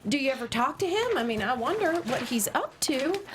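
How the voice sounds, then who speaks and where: A woman reading the part of a conservative middle-aged mother who hosts a talk radio show, brisk and prying. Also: sits high, very animated. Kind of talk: table read.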